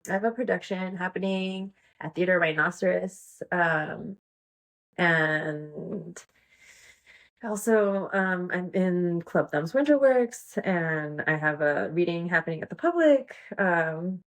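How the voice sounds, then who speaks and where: A woman in her thirties, a podcast guest chatting casually, rambling with many fillers and frequent giggles. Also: mid-range voice. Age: 30s. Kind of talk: casual interview chat.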